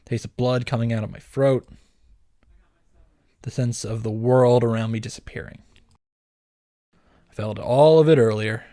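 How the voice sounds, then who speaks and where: A man's voice: mid-range voice, steady tone, measured pace, pauses often, dark tone, dry recording, clean studio sound, natural voice. A man voicing a desperate teenage boy fighter in a dramatic visual novel reading. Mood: grim. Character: desperate teenage boy fighter narrating his near death. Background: background music.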